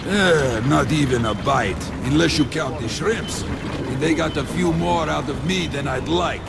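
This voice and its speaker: A man voicing a joking, world-weary fisherman in a video game, with a gravelly, teasing drawl. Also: on the high side, measured pace, very noisy. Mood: chatty.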